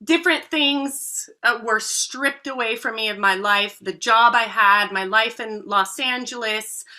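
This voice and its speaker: Woman voice coach, 30s, bright tone; sincere guest on a podcast interview. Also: on the high side, measured pace. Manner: earnest, heartfelt storytelling.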